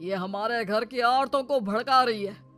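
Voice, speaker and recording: A man's voice: very high voice, moderate pace, fairly bright tone, soft background music. A man voicing a domineering, angry village father-in-law in a cartoon story, scolding and commanding. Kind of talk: Hindi cartoon story dialogue.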